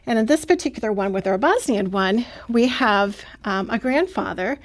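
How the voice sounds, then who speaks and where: A woman's voice: medium pitch, animated, moderate pace. A woman describing how her radio program is made, talking at length with frequent fillers. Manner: explains at length with many fillers. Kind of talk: radio interview.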